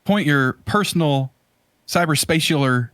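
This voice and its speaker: A man's voice: a little high, a little slow, a bit bright, no echo, clean studio sound. A man co-hosting a podcast with casual, playful banter in a laid-back, jokey way. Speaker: podcast co-host.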